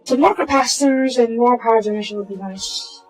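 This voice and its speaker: A woman with a slightly hoarse voice, casually talking through a strategy game and muttering to herself as she plays. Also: fairly high pitch, brisk, faint music behind, rough recording. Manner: muttering to herself while playing, trailing off into quiet asides. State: hoarse from a sore throat. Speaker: gaming channel host. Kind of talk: casual gameplay commentary.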